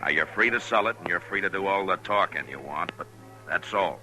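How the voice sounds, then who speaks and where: A man playing a firm, calm, plainspoken frontier marshal in an old-time radio western. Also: deep voice, a little fast, faint music behind, exaggerated voice, low-quality recording. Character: steady, firm, laconic male frontier marshal.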